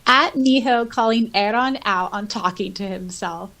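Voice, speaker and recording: Chatty giggly woman podcaster, mid pitched voice, reading fan comments n reacting. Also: moderate pace, a bit bright. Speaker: podcast co-host and audiobook voice actor. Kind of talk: casual podcast chat, reading out listener comments.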